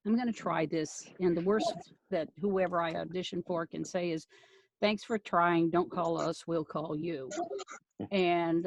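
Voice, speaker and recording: A woman who does amateur voice acting, chatting casually on a live stream in a halting, thinking-aloud way. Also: lowish voice, no echo, poor audio. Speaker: volunteer audio drama voice actor.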